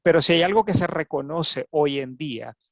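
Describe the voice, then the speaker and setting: A Venezuelan man giving a keynote talk at an academic webinar over a video call, with a Venezuelan Spanish accent, often pausing and repeating words as he goes. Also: a little high, a bit bright, no room sound, studio quality. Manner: frequent hesitations and repeated words. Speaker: keynote speaker. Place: home office in Canada.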